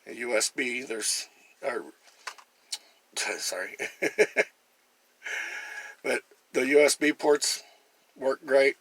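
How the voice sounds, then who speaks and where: A man's voice: medium pitch, expressive, drawn-out words, creaky, long pauses, a bit bright, slightly rough. A man talking casually through a product demonstration in a plain-spoken, folksy and chatty way. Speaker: gear reviewer and camper.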